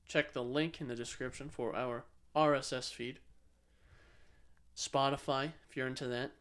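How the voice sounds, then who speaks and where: A man's voice: mid-range voice, fairly bright tone. A man co-hosting a podcast, chatting casually and informally about games, sometimes repeating himself for emphasis. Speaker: podcast co-host.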